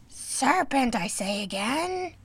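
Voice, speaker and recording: A woman's voice: very animated, unhurried, a bit bright, dry recording, heavily acted. A woman playing an agitated, indignant mother pigeon in a storybook reading, shrieking and plaintive, with emphatic, contemptuous scolding. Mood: insistent. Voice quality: shrill, creaky, shrieking.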